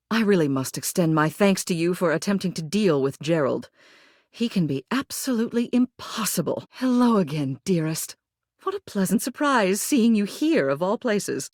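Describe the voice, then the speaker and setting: Haughty, lowish-voiced lady mayor. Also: darkish tone, no echo, clean studio sound. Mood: patronizing. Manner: pompous, fussy, condescending, genteel. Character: haughty, prim, meddling lady mayor of the household. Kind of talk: video game character acting.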